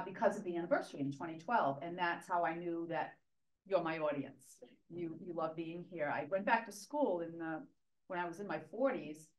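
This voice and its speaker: A middle-aged woman fashion historian, starting a public lecture in a library meeting room, loud, chatty and enthusiastic, heard from a camera in the audience. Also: lowish voice, poor audio. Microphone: far camera in the audience.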